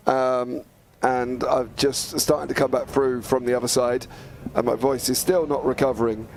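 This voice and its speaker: A man, a sim racing commentator, hoarse and croaky from a recent flu, chatting and calling live race action with excited play-by-play. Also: mid-range voice, normal speed, a little hiss or hum.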